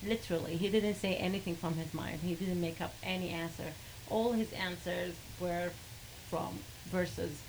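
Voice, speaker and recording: A woman with an Arabic accent who speaks haltingly, with many fillers, and laughs between sentences as she tells a personal story. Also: fairly low pitch, steady tone, background noise. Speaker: guest sharing a testimony.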